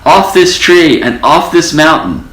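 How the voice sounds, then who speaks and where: Man doing a bold little storybook mouse, high-pitched. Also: very animated, measured pace, fairly bright tone, echoey room, rough recording. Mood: demanding. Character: small bold male mouse, demanding, later agreeable.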